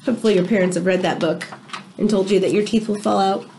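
A middle-aged woman, an elementary teacher, reading aloud to young children with expressive, chatty asides. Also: medium pitch, quick pace, a little hiss or hum, poor audio. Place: small indoor room.

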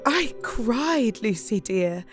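A woman's voice: on the high side, big pitch swings, takes it slowly. A woman acting an affectionate young Victorian wife, reading out her letter chattily in an audio drama. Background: music.

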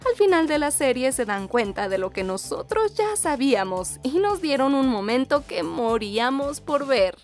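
A woman with a Latin American Spanish accent narrates a scripted video countdown. Her voice is fairly high, with light music playing behind it. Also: very animated, normal speed, few pauses, darkish tone. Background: background music.

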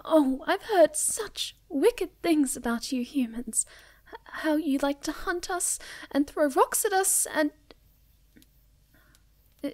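A woman playing a sweet, timid young moth girl in an ASMR roleplay, speaking softly and breathily with hesitant stammers. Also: high voice. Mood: wary, timid. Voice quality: soft, breathy, often near a whisper.

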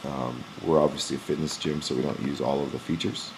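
A young man who runs a gym, walking through a software screen recording in a casual, explanatory way with frequent "um" pauses, recorded on a webcam at his desk. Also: very deep voice, steady tone, slight background noise. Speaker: gym owner demonstrating software. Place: small indoor room at a computer. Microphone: webcam in front of the face.

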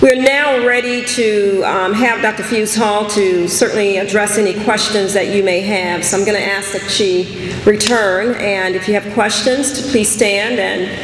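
A woman's voice: medium pitch, fairly even intonation, a little slow. A woman hosting a formal event as moderator, speaking to an audience from a podium. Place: large chapel, indoors. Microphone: podium microphone. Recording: echoey hall, clear background noise.

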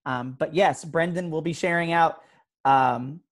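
A man's voice: on the high side, a little slow. A young man speaking casually as an organizer wrapping up an online meeting through a headset mic. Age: young adult. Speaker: session organizer. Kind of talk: casual meeting wrap-up talk. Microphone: headset mic on a video call.

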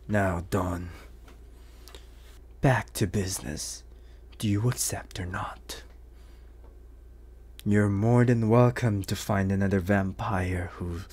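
Man acting slow, low, suave vampire hunter. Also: a little mellow, exaggerated voice. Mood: threatening, smug. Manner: slow, teasing, breathy half-whispers with lingering pauses. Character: suave, menacing, flirtatious male vampire bounty hunter. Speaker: voice actor. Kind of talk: ASMR roleplay acting.

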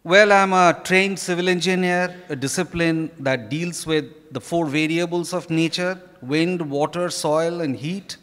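Slow-spoken Indian man in an echoey hall. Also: fairly high pitch, fairly even intonation, fairly bright tone. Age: middle-aged. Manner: slow, deliberate, halting delivery. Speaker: author being interviewed. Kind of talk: reading prepared remarks in an on-stage interview. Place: hotel ballroom stage. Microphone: handheld microphone. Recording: echoey ballroom with long reverb.

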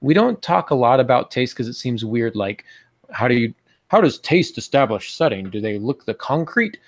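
A man in his forties with an American accent talks through writing advice on a podcast. His medium-pitched voice has plenty of gravelly fry. He speaks informally and a little hesitantly, in a dry, close home-office sound. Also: animated, moderate pace, no echo. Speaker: podcast co-host teaching fiction writing. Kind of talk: informal instructional podcast talk. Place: home office, on a video call.

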